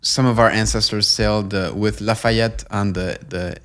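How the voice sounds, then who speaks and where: Soft-spoken young man with a low, smooth voice. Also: steady tone. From Long Island, New York. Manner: measured and earnest, with frequent fillers and restarts. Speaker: guest, nonprofit co-founder. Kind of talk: conversational interview.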